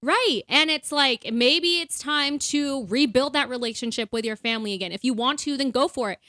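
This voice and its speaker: A young woman chats away on a podcast, bubbly and loose with fillers. Her voice sits a little high. The sound is close and dry, with no room around it. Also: moderate pace, pushed and bright, dry recording. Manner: chatty, bubbly, many fillers. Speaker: podcast co-host. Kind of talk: casual chat.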